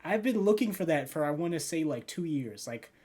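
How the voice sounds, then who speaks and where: A man's voice: fairly high pitch, animated, brisk, dark tone. A young man hosting a casual podcast chat, rambling with lots of fillers and frequent laughter. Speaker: podcast host and editor.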